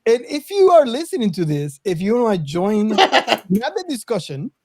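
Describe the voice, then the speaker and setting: A man's voice: high-pitched, huge pitch swings, normal speed, no room sound. A man hosting a casual podcast chat, good-humoured, with hesitant phrasing. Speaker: podcast host.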